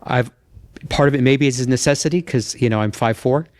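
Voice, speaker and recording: An older man talking earnestly and plainly as a contestant on a dating show, explaining himself in a measured way. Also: medium pitch, darkish tone, clean tone.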